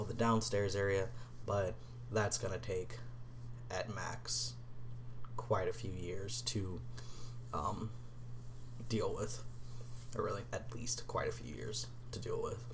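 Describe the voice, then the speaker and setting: A man's voice: low voice, steady tone, measured pace, long pauses, slight background noise. A young man in a casual vlog, answering tag questions in a hesitant way, with many ums and restarts, and sometimes dropping to a whisper. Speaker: vlogger.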